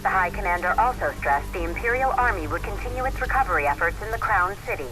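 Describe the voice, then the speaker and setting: An actress voicing a formal radio news reader in a video game, with measured, official delivery. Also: female voice, mid-range voice, lively intonation, sharp tone, a little hiss or hum, low-quality recording. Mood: formal, neutral.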